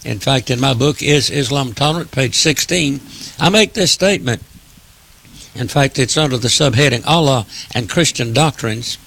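Gravelly, husky male radio host. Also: medium pitch, normal speed, fairly bright tone. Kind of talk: radio interview introduction.

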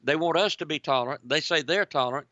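A man's voice: a little high, fast talker, dry recording, muffled recording. A man hosting a talk radio show, speaking to his listeners in a preacherly way and interviewing a guest. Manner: preacherly, addresses listeners as ladies and gentlemen.

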